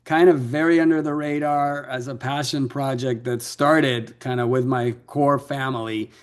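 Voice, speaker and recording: A man's voice: mid-range voice, steady tone, normal speed. A man from Latin America, raised in Ecuador, answering interview questions as a film director in a fluent, storytelling way.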